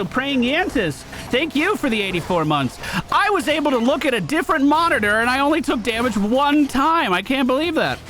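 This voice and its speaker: Higher-voiced man commentating gameplay over music. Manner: casual, running self-talk, jokey. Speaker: video game streamer. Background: game music.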